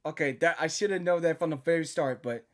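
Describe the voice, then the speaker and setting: A woman hosting a reaction video, giving excited casual commentary on a game and exclaiming in astonishment at what she hears. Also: low-pitched, fast talker.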